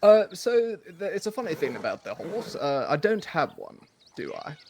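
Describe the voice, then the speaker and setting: A man playing a polite, stranded gentleman traveller who stammers and hesitates, with many fillers and formal phrasing. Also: high voice, very animated, normal speed, a little hiss or hum. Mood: polite, flustered. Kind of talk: role-play in a western game.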